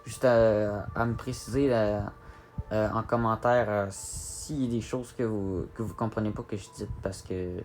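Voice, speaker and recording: A man with a Québécois French accent giving a casual tutorial to viewers, halting and repeating his words as he goes. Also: fairly low pitch, steady tone, darkish tone, faint music behind. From Quebec, Canada. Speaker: gaming video creator. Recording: clear background noise, home-made recording.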